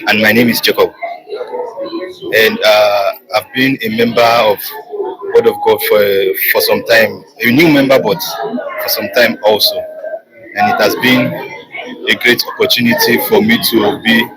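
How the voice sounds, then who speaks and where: A man with a Nigerian accent giving a halting personal testimony as a church member. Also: lowish voice, fairly even intonation, music in the background. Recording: live church hall, loud crowd behind.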